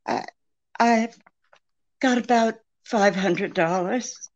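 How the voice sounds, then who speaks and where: A woman playing a frightened, evasive femme fatale in a 1940s-style radio detective drama, speaking haltingly. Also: mid-range voice, dull audio, lightly acted. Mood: afraid, faltering. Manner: halting, hesitant.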